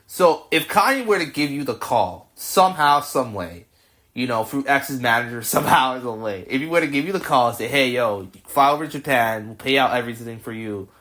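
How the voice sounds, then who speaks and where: A man's voice: mid-range voice, lively intonation, brisk, fairly bright tone. A man in his twenties hosting a podcast, asking rambling, casual questions full of restarts. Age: 20s. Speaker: podcast host. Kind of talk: casual interview chat.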